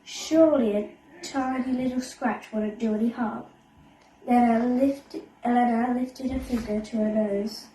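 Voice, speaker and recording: A woman's voice: on the high side, steady tone, a little slow, mellow. A female storyteller reading a children's story aloud. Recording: echoey room, faint background noise.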